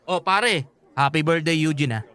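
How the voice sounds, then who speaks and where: A man's voice: a little high, moderate pace, bright, forceful tone, clear, resonant tone. An actor playing a cocky, flippant young man who needles his friends in comedic radio drama banter. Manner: teasing, flippant. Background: music.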